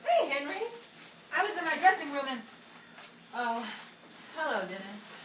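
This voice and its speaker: A woman playing a brisk, sassy Hollywood showgirl and presenter in old-time radio style. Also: high-pitched, sing-song. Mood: hurried, brushing off. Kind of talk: old-time radio comedy acting and newsflash narration. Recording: echoey, distant recording with faint noise.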